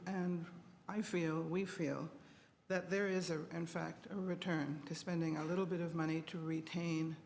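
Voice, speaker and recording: A woman giving a measured, deliberate public statement at a committee meeting as a residents' representative. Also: lowish voice. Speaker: residents' association co-president speaking at a committee. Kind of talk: public deputation. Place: indoor committee meeting room. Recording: background noise in meeting room.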